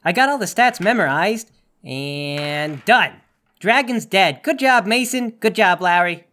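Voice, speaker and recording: A man's voice: sits high, lively intonation, unhurried, sharp tone. A man playing a tabletop game, casually bantering and stating absurd things in a literal, matter-of-fact way.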